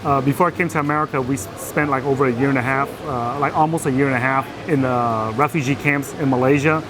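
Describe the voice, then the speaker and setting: A man's voice: mid-range voice, fairly even intonation, fast, over music, low-quality recording. A man from Vietnam, a guest telling his life story in a casual interview, with many fillers and restarts.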